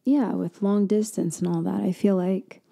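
A woman doing a girlfriend roleplay for ASMR, speaking softly and intimately, slipping in and out of a whisper and often trailing off mid-thought. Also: fairly even intonation, normal speed, creaky. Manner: soft, intimate, drifting between low voice and whisper; hesitant, trailing off and restarting.

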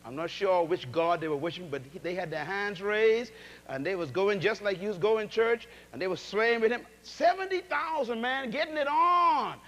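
A middle-aged man preaching a sermon to a large congregation in a church auditorium, animated, calling out to the crowd and swinging from loud to soft. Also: sits high, moderate pace. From Bahamas. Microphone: handheld mic.

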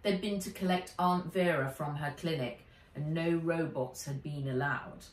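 Middle aged lady reading a kids story, slow and lively, lowish voice, roomy sound. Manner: measured, animated storytelling. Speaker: author reading own book aloud. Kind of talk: expressive children's audiobook-style narration. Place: living room by a window. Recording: roomy, distant home camera sound.

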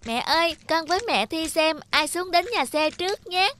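A woman's voice: extremely high pitch, expressive, moderate pace, fairly bright tone. A woman voicing an innocent, eager little schoolgirl in a cartoon, with a sweet, childlike, pleading manner. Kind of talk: animated moral-tale dubbing.

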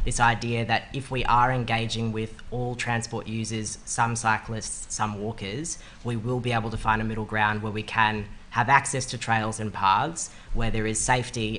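A young man answering as a candidate at a public forum, earnest and measured, speaking into a desk mic in a meeting room. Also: on the low side, pushed and bright, a little hiss or hum. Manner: earnest, measured, some restarts. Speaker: political candidate on a panel. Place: meeting room with panel table. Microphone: desk microphone on stand.

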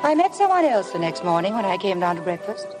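An actress playing a timid, eager young bride in a 1950s radio drama, speaking earnestly and politely. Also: female voice, mid-range voice, sing-song, fast talker, loud music behind the voice, lightly acted, low-quality recording. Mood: brooding narration.

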